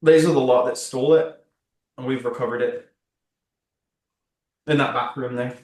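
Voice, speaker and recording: A man's voice: medium pitch, steady tone, normal speed, darkish tone, natural voice. A man improvising a nervous, rambling mercenary who stammers and over-explains in a tabletop roleplay. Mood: earnest, halting. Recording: echoey room, far from mic.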